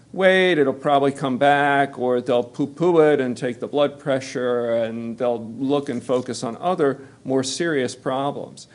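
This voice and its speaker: A middle-aged American man with a measured, explanatory manner, giving a lecture at a medical seminar. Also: medium pitch, darkish tone. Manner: measured explanatory delivery, occasional fillers. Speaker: physician presenting at a medical seminar. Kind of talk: lecture to health care workers.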